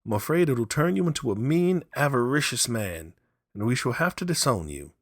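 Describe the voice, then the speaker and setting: A man's voice: medium pitch, very animated, normal speed, dry recording, studio quality, pitched above the performer's own voice. A man voicing a frivolous, mischievous grown son in a dramatic storybook reading. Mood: breezy teasing.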